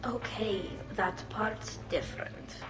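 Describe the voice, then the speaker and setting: An actress playing a playful young female alien engineer in a video game, expressive and teasing. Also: mid-range voice, slow, reverberant, lightly acted, poor audio. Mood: playful, earnest. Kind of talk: video game character acting.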